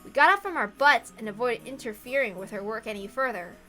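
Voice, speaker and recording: Casting: female story reader, fairly high and bright, scripted narration with chatty asides, faint music behind. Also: lively intonation, a little fast. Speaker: narrator and reader of a visual novel.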